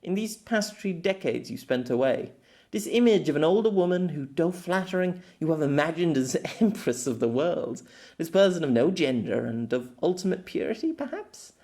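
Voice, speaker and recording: A man's voice: on the high side, sing-song, normal speed, darkish tone, voice pushed up. A man voicing a proud, teasing young noblewoman with magic in an audio story, speaking in a haughty, grandly formal way. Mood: reasoning, gentle. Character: young regal woman, proud, theatrical, teasing sorceress.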